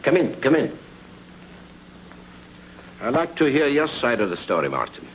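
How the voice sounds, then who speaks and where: A courteous, commanding head of a household. His mid-pitched voice swings very widely in melody, yet stays formal and polite. It is a noisy old radio drama recording. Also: sing-song, unhurried. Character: courteous, authoritative male master of the house. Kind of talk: film noir radio drama acting.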